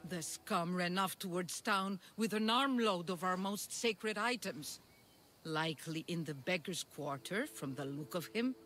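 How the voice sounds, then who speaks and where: A man's voice: high-pitched, a little slow, bright, forceful tone, a little hiss or hum. A man playing a scornful, indignant temple keeper in a video game cutscene, speaking with outraged emphasis.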